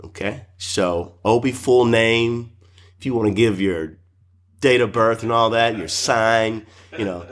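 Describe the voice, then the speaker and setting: A man's voice: on the low side, measured pace. A man co-hosting a podcast, interviewing in a casual, conversational way and encouragingly echoing back what he hears.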